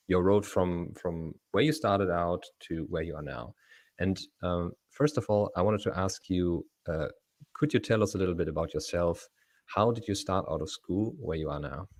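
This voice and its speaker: A man hosting an interview in a measured, deliberate way, with hesitant phrasing. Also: sits low, a little mellow, no room sound, studio quality. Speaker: podcast host.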